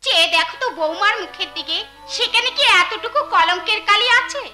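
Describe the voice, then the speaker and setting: A woman's voice: very high-pitched, animated, brisk, music in the background. A woman playing a protective, indignant mother-in-law in Bengali folk-theatre melodrama, wailing and declaiming. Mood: protective, reproachful.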